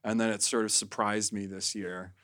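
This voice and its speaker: The guy at the end of the table on a chatty podcast, easygoing and bright-toned, spinning an anecdote and voicing everyone in it. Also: fairly low pitch, little pitch movement, normal speed. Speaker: podcast guest. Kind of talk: casual chat, storytelling.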